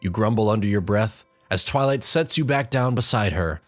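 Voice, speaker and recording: A storyteller reads scripted comic narration in a medium-pitched voice. The delivery is wry and exasperated. Soft music runs underneath. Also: normal speed, no room sound. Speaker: fan fiction narrator. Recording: music behind the voice.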